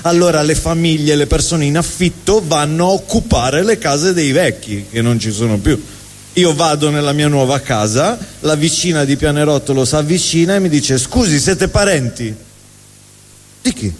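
A man with a fairly high voice tells a comic story as a theatrical monologue. He is performing live on an indoor stage, and the sound carries a long hall reverberation. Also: moderate pace.

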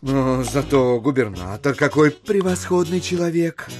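Man playing fawning polite gent in an audio drama, slow n halting, sing-song swings, music behind. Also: medium pitch, animated, a little mellow, close to an everyday voice. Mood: hesitant, conciliatory. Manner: hesitant, stammering, obsequious. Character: ingratiating, polite, cautious gentleman visitor, eager to please. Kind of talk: Russian literary audio drama acting.

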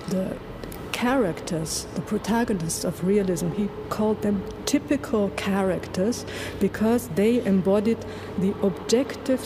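A woman of medium pitch gives academic commentary in halting, deliberate phrases with emphasis on key words. The recording has clear background noise. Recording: noisy live archive recording.

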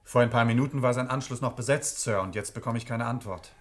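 A man's voice: mid-range voice, fast, faint music behind, close to an everyday voice. An actor playing a dutiful, deferential male office aide in a German radio play, speaking formally. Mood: apologetic.

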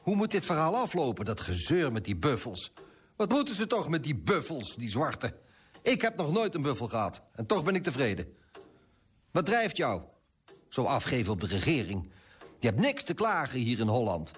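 An actor playing a pompous, self-righteous middle-aged merchant in a radio play, husky and indignant. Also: male voice, mid-range voice, huge pitch swings, normal speed.

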